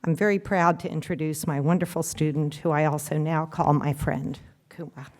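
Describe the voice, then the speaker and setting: A woman's voice: deep voice, fairly even intonation, moderate pace, a little hiss or hum. A woman who volunteers as a tutor, giving a casual, admiring introduction speech at a graduation ceremony. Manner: warm praise with casual fillers. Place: event hall at a library graduation.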